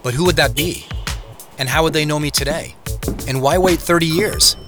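A man's voice: on the high side, moderate pace, over music, close to an everyday voice. A man playing an obsessive professional puzzling over a mystery, narrating in a confiding, conversational way as if reasoning aloud. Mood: intrigued, uneasy. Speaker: narrator and lead character. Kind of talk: first-person audio drama narration, conversational.

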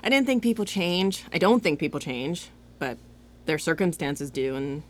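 A woman's voice: sits low, lively intonation, normal speed, slight background noise. An actress playing a hopeful, teasing young woman in a comedic audio drama, talking in a casual, emphatic way. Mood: earnest.

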